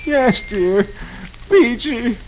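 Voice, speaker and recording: Comic-strip husband, played by a male reader: put-upon, excitable, raised bright voice, groaning theatrically for radio funnies. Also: very high-pitched, fast, a little hiss or hum, voice pushed up, full character voice, rough recording. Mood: tired resignation. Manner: exaggerated groans and exclamations. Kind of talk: children's radio funnies reading.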